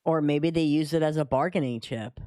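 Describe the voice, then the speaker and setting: A man's voice: on the high side, a little fast, darkish tone, dry recording, clean studio sound. A man co-hosting a streamed podcast, chatting informally and with some slang. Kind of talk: casual chat, greeting stream viewers.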